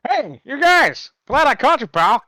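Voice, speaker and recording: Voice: sits high, sing-song, a little slow, sharp tone, no echo, exaggerated voice. Someone doing a chummy, goofy detective. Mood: hearty. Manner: hearty, chummy. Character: goofy broke male detective.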